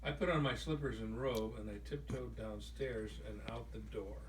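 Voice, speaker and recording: A man's voice: fairly low pitch, moderate pace. A man reading a children's picture book aloud to family in an informal, amateur way. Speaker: amateur storybook reader. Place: room with listeners, mic at a distance. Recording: distant microphone, noisy room.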